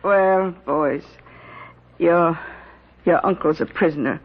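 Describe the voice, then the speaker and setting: A woman's voice: on the low side, takes it slowly. An actress playing a folksy, motherly older aunt who keeps a tavern, speaking emphatically in a radio drama. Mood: worried, bustling. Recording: muffled, very noisy 1970s radio drama.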